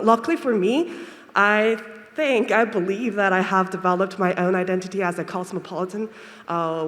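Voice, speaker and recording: A woman from Japan asking a long, winding question from the audience, hesitant and full of fillers. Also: lowish voice. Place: lecture hall, audience microphone. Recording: reverberant hall sound.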